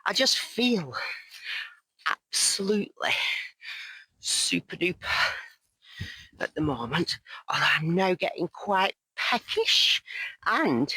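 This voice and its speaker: A woman vlogging as she hikes down a mountain, chatting in a rambling, jokey, self-mocking way, her voice hoarse and croaky. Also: low-pitched, sharp tone, no room sound, poor audio. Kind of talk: casual chat to camera while hiking. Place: outdoors on a mountain path.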